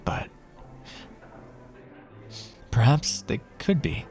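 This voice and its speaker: A man's voice: drawn-out words, darkish tone. A man playing a smug, lovestruck royal in an ASMR fantasy roleplay, with theatrical, teasing, courtly phrasing. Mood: thoughtful.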